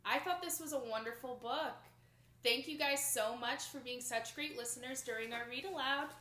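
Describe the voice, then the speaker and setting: A young adult woman reading a picture book aloud to children in an expressive, emphatic storytelling manner. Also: sits high, normal speed. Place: small plain indoor room. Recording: roomy indoor echo.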